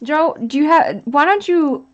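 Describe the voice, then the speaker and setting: A woman's voice: high voice, expressive, measured pace. A woman hosting a live stream, chatting casually and reading out and answering the chat. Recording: dull lo-fi sound.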